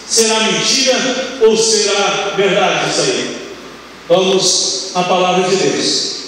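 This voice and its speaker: High-voiced older pastor in an echoing hall. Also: male voice, a bit bright, recorded from a distance, slight background noise. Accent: Brazilian Portuguese. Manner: conversational preaching with tag questions and quick asides. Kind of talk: teaching sermon. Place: large bright church hall, indoors. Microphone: handheld mic, recorded from far camera.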